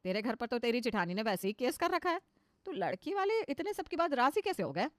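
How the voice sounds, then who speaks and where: Casting: woman playing fretful middle-aged cartoon mum with modern airs, emphatic, chatty, high pitch, dry recording. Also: big pitch swings, brisk, no echo. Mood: surprised. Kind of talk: cartoon moral story voice.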